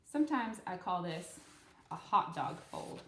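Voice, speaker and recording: A woman's voice: on the low side, big pitch swings, measured pace, a little hiss or hum. A woman in her thirties hosting a children's storytime, explaining things simply and encouragingly as she reads and guides young listeners. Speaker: children's storytime host and craft instructor. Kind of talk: read-aloud story and step-by-step craft instruction for kids. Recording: roomy, echoey room sound.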